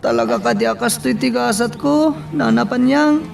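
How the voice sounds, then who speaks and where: High-voiced, heartbroken young man. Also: moderate pace, gravelly, far from the mic, over music, exaggerated voice. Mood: anguished. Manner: plaintive, emotional. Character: distraught young man in love, pleading with an older woman. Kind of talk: Ilocano radio drama acting.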